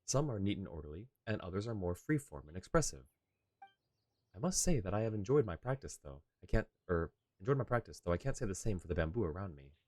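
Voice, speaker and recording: A man's voice: low voice, very animated, quick pace, darkish tone, no echo. A man voicing a polite, talkative young swordsman from a game, with a courtly and lightly playful manner. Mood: chatty, earnest. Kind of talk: reading game dialogue in character. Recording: game music behind.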